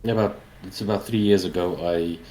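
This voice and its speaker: South african guy in his 40s, afrikaans first language, really low voice, casual interview chat, some background noise. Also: fairly even intonation, normal speed, dark tone, poor audio. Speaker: interview guest, indie game developer.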